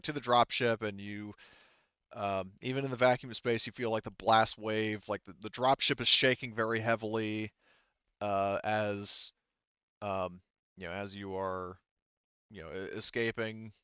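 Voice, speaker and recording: A man with a low, clean voice runs a tabletop roleplaying game. He improvises the narration casually and haltingly, thinking aloud as he goes. The sound is close and dry, a little dull. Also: steady tone, fairly bright tone, smooth, no echo. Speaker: game master.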